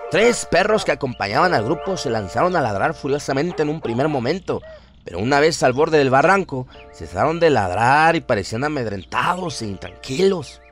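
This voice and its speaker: A man narrating a horror story in a dramatic, scripted storytelling style. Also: mid-range voice. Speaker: narrator of horror audio stories.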